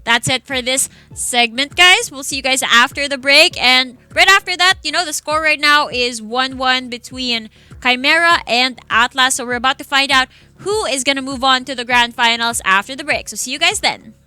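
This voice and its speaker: A Filipino-accented woman casts and hosts esports in a high voice. Her tone is very bright and sharp. She speaks in a casual broadcast style. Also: normal speed, smooth, no room sound. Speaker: esports caster and host. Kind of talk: casual broadcast commentary in Taglish.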